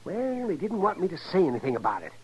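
High-pitched cantankerous frontier doctor. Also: male voice, huge pitch swings, fast talker, husky, dull audio, heavily acted. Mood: grumbling. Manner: sputtering, grumbling, folksy. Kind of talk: 1950s radio western acting. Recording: noisy old radio recording.

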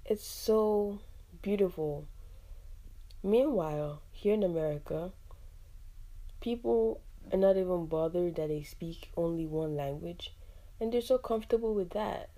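A young woman from Nigeria, hosting a casual solo podcast, chatty and animated, with frequent laughs and fillers. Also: lowish voice, measured pace. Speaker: podcast host.

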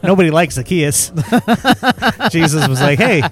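A man's voice: a little high, very animated, slow pace, fairly bright tone. Laughing, rambling man on a podcast. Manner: laughs often, rambling with many fillers. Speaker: podcast co-host. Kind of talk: casual chat.

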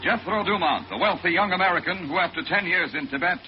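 A man's voice: high-pitched, quick pace. A man announcing a vintage radio adventure in dramatic, scripted 1940s style. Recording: muffled, noisy old radio recording.

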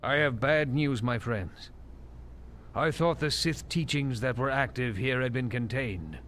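An actor playing a grave, wise Jedi master in a space-fantasy audio drama, solemn, measured and authoritative. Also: male voice, mid-range voice, fairly bright tone, soft background music, close to an everyday voice.

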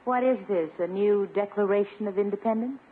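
An actress playing a prim, teasing, self-possessed school principal in 1950s radio comedy, polite with playful sarcasm. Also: female voice, medium pitch, brisk, heavy background noise, lightly acted. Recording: narrow, muffled 1950s radio broadcast.

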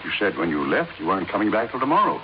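Rich older dad, curt n distracted, halting and insistent, muffled hissy old radio. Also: male voice, on the low side, sing-song. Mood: puzzled. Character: wealthy older father, curt and strangely distracted. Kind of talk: 1950s American radio drama acting. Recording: noisy, narrow-band 1950s radio recording.

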